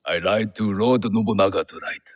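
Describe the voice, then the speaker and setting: An actor playing a smooth, scheming samurai general in a video game, with courteous, formal speech. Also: male voice, fairly low pitch, normal speed, natural voice. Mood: smooth, apologetic. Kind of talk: video game cutscene acting.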